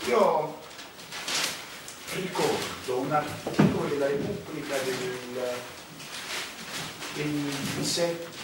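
An actor playing a grave narrator, delivering theatrical scripted narration with deliberate, dramatic pauses. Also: male voice, on the high side. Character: grave male narrator recounting a banking scandal death. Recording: echoey, distant, noisy stage recording.

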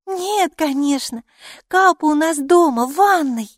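A woman voicing a lively, stubborn, inquisitive little girl in a children's audiobook, speaking emphatically. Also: high voice, a little slow, no room sound, clean studio sound, voice pushed up. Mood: confident. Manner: emphatic, excitable, matter-of-fact.

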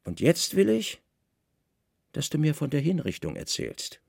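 Radio drama role: Roman governor played by a man, imperious yet worn out, irritable, brooding interrogator with a creaky edge. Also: fairly high pitch, huge pitch swings, measured pace, dry recording, studio quality, full character voice. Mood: commanding, probing.

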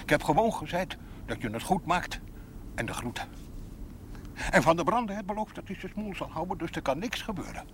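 An actor playing a lonely, gruff rural man who speaks in short, plain, colloquial phrases in a Dutch period TV drama. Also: high-pitched, animated, brisk, a bit bright, slight background noise. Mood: tender, mournful. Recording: 1970s TV soundtrack with music.

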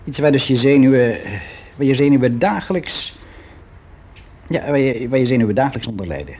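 An actor playing a weary, resigned office clerk around sixty, confiding in a halting, reflective way in a naturalistic radio play. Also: male voice, medium pitch, expressive. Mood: weary, complaining. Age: 60s. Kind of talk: naturalistic radio drama monologue. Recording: dull, noisy radio play recording.

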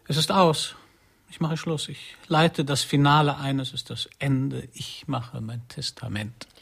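An actor playing a melodramatic, despairing man in a radio play, declaiming short solemn sentences in a gravelly, husky voice. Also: medium pitch, steady tone, a little slow, fairly bright tone, full character voice. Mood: doom-laden. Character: melodramatic, despairing man announcing his end. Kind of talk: German radio play dialogue.

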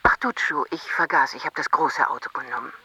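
A woman's voice: very deep voice, a little fast, bright, forceful tone, breathy edge, no room sound, full character voice, rough recording. An actress playing a tender, worried wife who confides hesitantly in her husband on a late-night call, in a German radio drama. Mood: wistful. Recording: music behind the voice.

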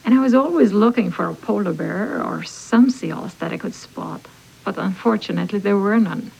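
Husky, creaky-voiced woman recalling a journey. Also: mid-range voice, moderate pace, a little mellow, poor audio. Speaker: documentary interviewee. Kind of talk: reflective personal recollection. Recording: archival radio documentary played from tape.

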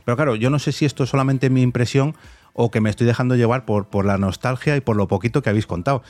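A middle-aged man with a Spanish accent hosts a podcast. His voice sits at an average pitch, recorded dry and close. He talks conversationally, as if to a guest and regular listeners. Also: fast, darkish tone, no echo. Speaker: podcast host. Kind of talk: conversational podcast presenting and interviewing.

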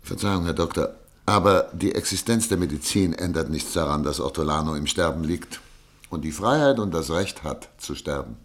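An actor playing an eloquent, unyielding public prosecutor in a German radio play, with emphatic, formal argument. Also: male voice, sits low, normal speed. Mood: assured, insistent.

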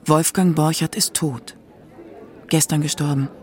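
A man reading scripted radio documentary narration in a solemn, measured way. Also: fairly high pitch, steady tone, a little mellow. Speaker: feature narrator.

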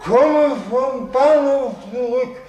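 An actor playing a halting servant who reports in clipped, telegraphic phrases in a radio play. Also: male voice, very high voice, a little mellow, exaggerated voice. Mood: halting, dutiful. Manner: telegraphic, very drawn-out delivery.

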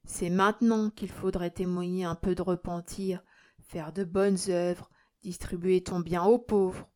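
Woman playing a calm, coaxing village priest. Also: fairly low pitch, lightly acted. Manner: gently admonishing, measured. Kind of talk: audiobook character acting.

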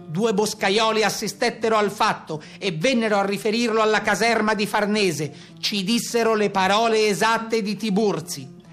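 Man playing a high-voiced formal carabiniere. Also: moderate pace, fairly bright tone, soft background music. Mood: grave, frustrated. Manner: formal, orderly report-like telling. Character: male carabiniere recounting a brigand manhunt, formal, aggrieved. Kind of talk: theatrical monologue.

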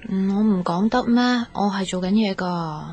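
A woman's voice: mid-range voice, normal speed, warm tone, highs cut off, lightly acted. An actress playing a sensitive, insecure young girlfriend in a Cantonese radio drama. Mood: tentative, hurt. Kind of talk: Cantonese radio drama acting with inner monologue.

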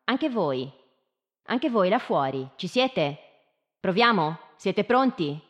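An actress playing an inquisitive schoolteacher in live radio theatre, putting short, probing questions to children in speech. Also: female voice, mid-range voice, big pitch swings, slow.

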